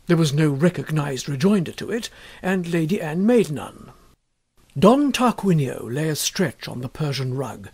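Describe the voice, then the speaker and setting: A man with a fairly high-pitched voice reads a short story aloud as a scripted narration. His delivery is measured and expressive, in the manner of an audiobook storyteller.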